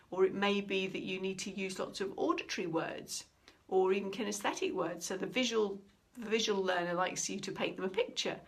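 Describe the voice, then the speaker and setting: Middle-aged female trainer, animated and expressive, average pitch, teaches while acting out quoted lines. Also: vocal fry. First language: English. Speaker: communication trainer. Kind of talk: instructional talk.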